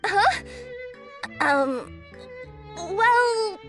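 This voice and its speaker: High voiced young cat yokai delivery girl, eager n flustered, anime game thing, music behind her. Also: expressive, extremely slow, a bit bright, full character voice. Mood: reluctant. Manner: hesitant, flustered, earnest. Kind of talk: anime-style game voice acting.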